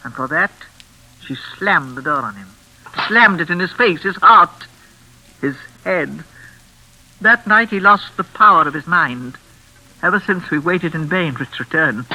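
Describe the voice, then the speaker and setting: Theatrical, menacing older mistress, piercingly bright. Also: female voice, fairly low pitch, animated, exaggerated voice, rough recording. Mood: bitter, unhinged. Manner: theatrical, honeyed and insistent, with deliberate repetition. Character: menacing, unhinged older mistress of a crumbling hall. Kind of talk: classic radio suspense acting.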